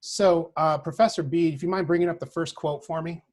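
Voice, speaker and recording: A man speaking as a social work professor, giving a prepared lecture in an earnest, deliberate manner, often checking in with a short tag question. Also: fairly high pitch, fairly even intonation.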